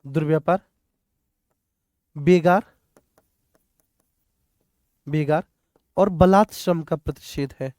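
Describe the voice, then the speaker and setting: A man's voice: on the high side, slow, pauses often, darkish tone, dry recording, studio quality. A man teaching an exam prep lesson, addressing students directly, repeating key points and mixing in English terms. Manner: repetitive, instructive, addressing students directly with check-in phrases. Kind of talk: question-and-answer exam lesson.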